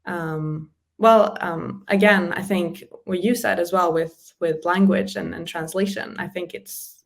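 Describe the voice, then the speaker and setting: A woman's voice: fairly low pitch, fairly even intonation, measured pace, creaky. A woman, a communication specialist, chatting in an interview and explaining practical tips with small laughs between points. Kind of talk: casual interview chat giving practical tips.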